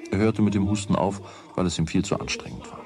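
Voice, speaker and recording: A man narrating a children's radio play as a wry, deliberate storyteller with a husky voice. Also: sits low, crowd noise, close to an everyday voice. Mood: wry, measured. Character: wry storyteller of a boy's everyday troubles.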